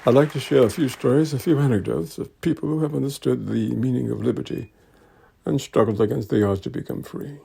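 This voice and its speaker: Gravelly, mellow man reading a speech. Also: mid-range voice, some chatter behind. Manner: measured, deliberate, oratorical phrasing. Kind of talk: dramatic reading of a commemorative speech.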